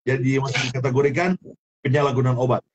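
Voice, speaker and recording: Halting man with a Sulawesi accent. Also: mid-range voice, level tone, highs cut off, background noise. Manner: repetitive, halting, many restarts. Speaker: guest, former film actor turned minister. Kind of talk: testimony interview.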